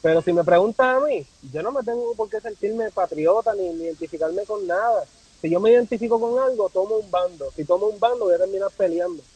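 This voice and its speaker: A man's voice: high voice, lively intonation, a little fast, darkish tone, husky, poor audio. A man from Puerto Rico with a Puerto Rican accent, talking casually and reflectively as a podcast guest, repeating phrases for emphasis. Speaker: podcast guest, travel content creator. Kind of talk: casual conversational interview.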